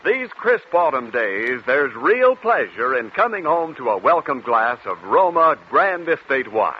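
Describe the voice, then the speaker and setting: A man's voice: medium pitch, very animated, unhurried. A man reading a radio commercial as an announcer in polished 1940s advertising style. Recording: muffled, noisy 1940s radio recording.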